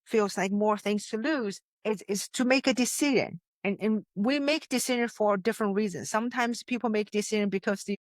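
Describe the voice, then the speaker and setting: A woman's voice: medium pitch, a little fast, hardly any pauses, dry recording, studio quality. A woman from China, a success coach, telling her story with earnest emphasis and frequent restarts. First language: Chinese. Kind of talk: interview conversation.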